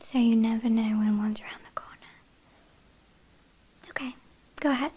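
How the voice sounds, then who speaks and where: A woman's voice: on the high side, fairly even intonation, warm tone, a little hiss or hum, close to an everyday voice. A woman with a British accent playing a teasing, haughty vampire kidnapper in an ASMR roleplay, whispering breathily. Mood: teasing, controlling. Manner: teasing, mock-apologetic, unhurried.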